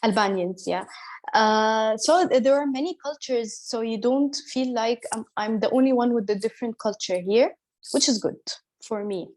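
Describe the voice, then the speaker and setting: A woman's voice: fairly high pitch, moderate pace, a little mellow. A woman, speaking candidly and hesitantly as an interview guest. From Egypt. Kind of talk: casual interview.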